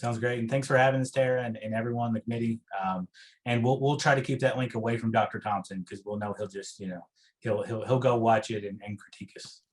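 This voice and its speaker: A man with an Eastern Kentucky accent speaking informally as a guest presenter in a meeting, haltingly, often repeating words. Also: medium pitch, fairly even intonation.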